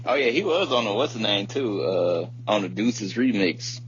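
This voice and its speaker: A man's voice: lowish voice, moderate pace. A man hosting a podcast, talking casually and bluntly about music in slangy, informal speech. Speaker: podcast host. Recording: muffled podcast audio with light hum.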